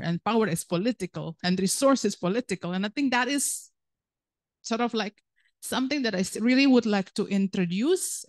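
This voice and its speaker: A woman's voice: mid-range voice, a little mellow, dry recording. A woman from Indonesia speaking as an author on a panel, earnest and thinking aloud, with many fillers. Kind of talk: panel discussion answer.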